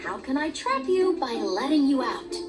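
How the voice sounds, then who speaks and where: Earnest young rabbit apprentice, fairly high voice, game music behind. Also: female voice, very animated. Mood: defiant. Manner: earnest, quick to snap. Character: young rabbit sorceress apprentice, remorseful but defiant.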